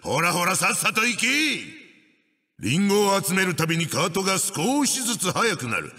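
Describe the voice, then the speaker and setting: A man's voice: high voice, very animated, normal speed, bright, forceful tone, big-room sound, heavily acted. A man playing an evil wooden mask spirit in a video game, commanding and pompous as he barks out racing instructions. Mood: instructing, bossy. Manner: commanding, archaic pompous phrasing. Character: evil wooden witch-doctor mask spirit, bossy and menacing.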